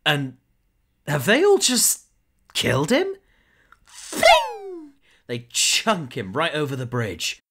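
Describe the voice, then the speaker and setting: A man's voice: a little high, wildly swooping pitch, slow pace, long pauses. A man narrating a scripted audio drama in a dramatic storytelling style. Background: loud music.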